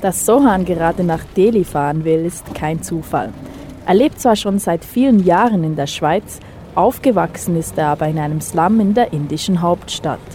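Low, mellow female radio reporter. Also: moderate pace, a little hiss or hum. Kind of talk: scripted reportage narration.

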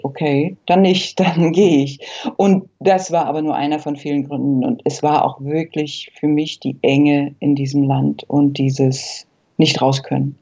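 Female interviewee, fifties, from Berlin. Warm, relaxed timbre, reflective recollection, somewhat dull sound. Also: sits low. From Berlin, East Germany. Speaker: interview guest, eyewitness.

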